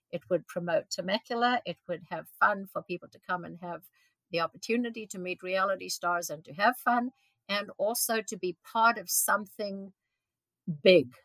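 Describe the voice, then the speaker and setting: Older woman in her 70s, from south africa, lowish voice, lots of ums, dry close sound. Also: a bit bright, no room sound, poor audio. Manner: earnest, with frequent ums and restarts. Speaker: guest, event organizer. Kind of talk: interview answers.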